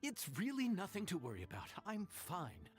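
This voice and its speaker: A man's voice: high voice, wildly swooping pitch, normal speed, faint music behind. A man playing a modest, hardworking inn chef in a video game, with a hesitant, self-dismissive manner. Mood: embarrassed, reluctant.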